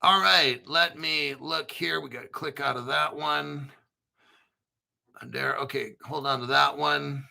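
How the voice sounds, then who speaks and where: A man's voice: on the high side, fairly even intonation, unhurried, gravelly, sharp tone. A man hosting a casual crypto show, talking bluntly and profanely, rambling with restarts. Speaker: crypto channel host. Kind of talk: casual livestream chat.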